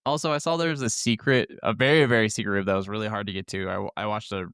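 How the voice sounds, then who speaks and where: Male podcast co-host, casual delivery, fairly deep and clear tone. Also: steady tone, rapid-fire, fairly bright tone, no echo, studio quality. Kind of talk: casual gaming podcast chat.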